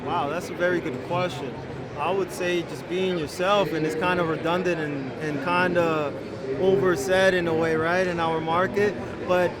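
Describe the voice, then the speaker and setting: A man's voice: fairly even intonation, normal speed. Upbeat man over crowd chatter. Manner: upbeat, sales-pitch delivery. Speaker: denim brand representative. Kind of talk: casual interview pitch. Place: busy trade show hall. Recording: heavy crowd chatter behind.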